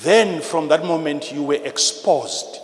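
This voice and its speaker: A man's voice: on the high side, unhurried. Zimbabwean preacher man in a reverberant hall. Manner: emphatic, repetitive, teacherly, swinging from hushed to loud. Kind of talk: sermon. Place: large church hall with PA. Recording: live in a large reverberant hall, noisy.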